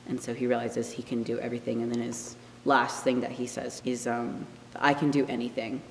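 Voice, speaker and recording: A man's voice: medium pitch, monotone, a little fast. A man in his late teens, a student actor, talking casually in an interview in a large auditorium. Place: large empty theater auditorium. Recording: echoey hall with clear background hum.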